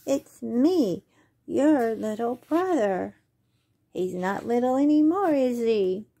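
A woman voicing excited young possum siblings in a children's story, raising her pitch and volume. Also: slow pace, full character voice. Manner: bright, raised, excited delivery.

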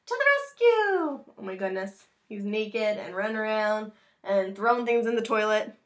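Expressive American woman reading to children. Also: medium pitch, normal speed. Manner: expressive, explains things simply for children. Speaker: teacher reading a picture book to her class. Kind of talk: children's read-aloud with chatty asides. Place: small room at home with bookshelves.

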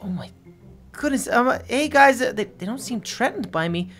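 A voice actor playing an excitable, anxious young superhero friend, stammering and exclaiming through an improvised adventure story. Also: mid-range voice, normal speed, faint music behind, natural voice. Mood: amazed, uneasy. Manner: stammering, exclamatory, talks to viewers as guys.

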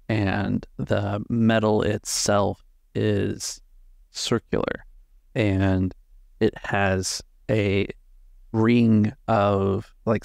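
A man improvising narration as a tabletop game master, in a measured, halting way with drawn-out words. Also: on the low side, creaky, a little mellow, no echo, clean studio sound.

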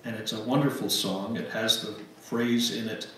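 A man in his seventies giving a reflective church address in a measured way, with long pauses. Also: lowish voice, fairly even intonation, sharp tone. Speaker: guest giving closing words. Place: church sanctuary, at pulpit. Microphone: gooseneck pulpit mic, picked up by far camera. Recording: very echoey church, distant mic, background noise.

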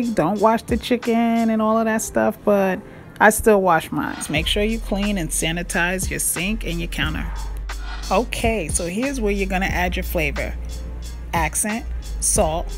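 A woman hosting her cooking channel, talking viewers through a recipe in a chatty, upbeat voice-over. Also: medium pitch. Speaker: cooking blogger and channel host. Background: music.

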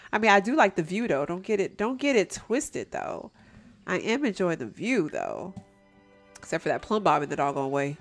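A woman's voice: on the low side, wildly swooping pitch, moderate pace, soft background music. A young woman, chatting casually over a video game and calling her viewers "y'all". She sounds nasal and stuffed up, and she laughs often. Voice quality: nasal from allergies. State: stuffy nose. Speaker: gameplay commentator. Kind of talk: casual let's play commentary.

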